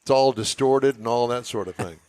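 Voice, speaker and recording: A man's voice: mid-range voice, a little fast, darkish tone, clear, resonant tone, no room sound. A middle-aged man hosting a radio show, chatting casually and joking with his guest. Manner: joking, teasing.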